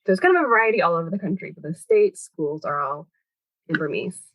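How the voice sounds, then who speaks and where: A woman's voice: lowish voice, lively intonation, fairly quick, a bit bright, no room sound, low-quality recording. A woman guest on a podcast interview, giving long, thoughtful answers full of hedges like "kind of" and "sort of". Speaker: podcast guest, language education organizer. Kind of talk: explanatory conversational interview answers.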